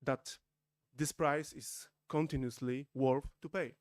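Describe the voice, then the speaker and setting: A man's voice: mid-range voice, fairly even intonation, no room sound, clean studio sound. Formal man opening a panel. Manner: halting, formal, with frequent fillers. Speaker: event host. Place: conference room at a podium. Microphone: podium microphones.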